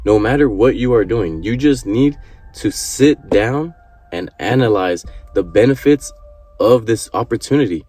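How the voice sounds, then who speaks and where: A man's voice: lowish voice, lively intonation, unhurried, a little mellow, slightly rough, faint music behind. A man in his early twenties giving an earnest motivational talk to camera, repeating his points and swearing casually. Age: 20s. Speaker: vlogger.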